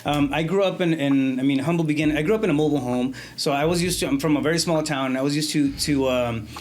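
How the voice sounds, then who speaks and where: A man hosting a casual podcast conversation, speaking in halting phrases full of "you know" and "um", often affirming the others. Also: on the high side. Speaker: podcast host.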